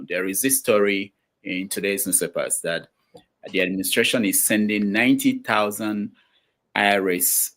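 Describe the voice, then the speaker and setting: A man hosting a talk show, speaking haltingly with many fillers and restarts. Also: sits low, a bit bright. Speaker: show host. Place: studio.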